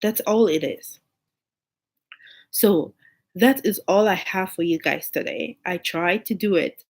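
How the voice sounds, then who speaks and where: A woman with a cold and a hoarse, unclear voice, giving an informal sermon to her online listeners and repeating key phrases for emphasis. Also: fairly low pitch, takes it slowly. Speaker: online preacher.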